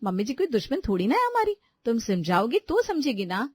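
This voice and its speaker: A woman's voice: fairly high pitch, wildly swooping pitch, a little fast, darkish tone, slightly rough, no echo, full character voice. A woman voicing a crafty, sweet-talking young daughter-in-law in a family story, coaxing and persuading. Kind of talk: animated Hindi family story dialogue.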